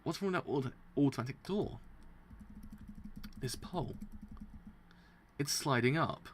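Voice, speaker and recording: A man streaming a live read-through of comics, stumbling over words, restarting lines, and breaking into excited laughter, his throat sounding strained. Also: medium pitch, a little slow, slight background noise. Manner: excitable, stumbles and restarts while reading aloud, bursts into laughter. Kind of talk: live reading and reaction.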